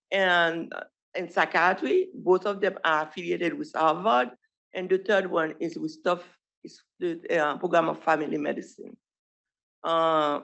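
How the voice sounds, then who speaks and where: A middle-aged physician from Haiti with a Haitian accent, giving an informal, halting presentation full of restarts. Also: medium pitch, creaky, a bit bright. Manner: halting, with frequent restarts and self-corrections. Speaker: physician presenting a residency program. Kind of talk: informal presentation at an online showcase.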